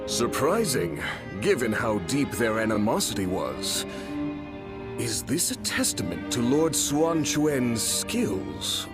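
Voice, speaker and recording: Frank, expressive officer. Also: male voice, mid-range voice, slow. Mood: thoughtful. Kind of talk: video game cutscene acting. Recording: loud orchestral music behind the voice.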